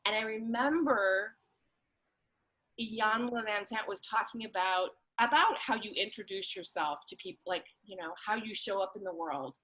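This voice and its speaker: A woman with a bright, forward voice at an average pitch chats in a personal, informal way. She is emphatic and loose in her phrasing, with plenty of conversational filler. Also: moderate pace, low-quality recording. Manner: informal, emphatic, full of "like" and "you know". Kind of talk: casual personal chat.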